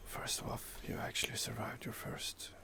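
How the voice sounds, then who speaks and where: A man's voice: normal speed, very noisy. A man whispering ASMR commentary while he plays a video game, musing aloud with many fillers. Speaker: game player and ASMR host.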